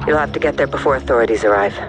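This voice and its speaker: A man's voice: medium pitch, racing, music in the background, natural voice. A man playing a businesslike military intelligence analyst in a game, briefing in a matter-of-fact way. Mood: businesslike, urgent. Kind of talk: cinematic military game dialogue.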